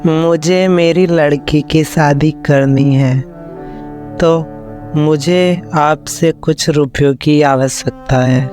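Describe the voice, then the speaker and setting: Humble, slow village man, higher-pitched acted. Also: steady tone, darkish tone. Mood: apologetic. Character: humble, polite village neighbour, apologetic and kind. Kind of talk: Hindi moral story cartoon voice acting. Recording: music under the voice.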